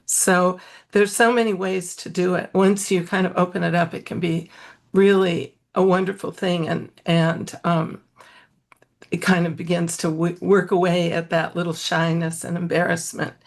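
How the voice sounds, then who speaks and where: A woman's voice: lowish voice, level tone, moderate pace. A woman talking reflectively and loosely as a panelist in an online discussion over a video call.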